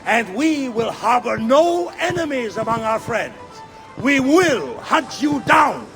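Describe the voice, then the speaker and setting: An actor playing a pompous Venetian ruler with an Italian accent, giving a grandiose theatrical speech. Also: male voice, extremely high pitch, sing-song, takes it slowly, heavily acted. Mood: menacing, proud. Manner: grandiose, declamatory. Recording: loud music behind the voice.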